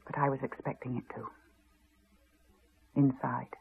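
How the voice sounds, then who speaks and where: A woman's voice: extremely low pitch, little pitch movement, a little slow, creaky, slightly rough, exaggerated voice. An actress playing a guarded, troubled mother in a 1980s radio drama, terse and hesitant. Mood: doubting, tense. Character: guarded, troubled mother haunted by childhood guilt. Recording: muffled, narrow-band 1980s radio broadcast.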